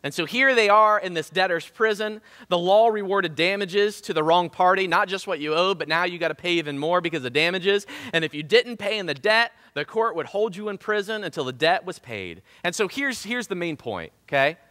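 A man in his thirties with a Southern Ohio accent preaches from a church stage, his voice bright and forceful. He leans on rhetorical questions, and his pitch rises and falls widely. The sound carries a long hall reverb. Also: animated, brisk, hardly any pauses. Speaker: pastor. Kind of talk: sermon. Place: church stage in front of a drum kit.

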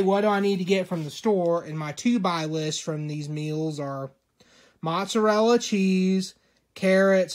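A man casually showing his grocery haul item by item, speaking in a plain, matter-of-fact way into a handheld phone camera at home. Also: a little high. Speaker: vlogger. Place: small indoor room at home. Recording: echoey room with faint hiss.

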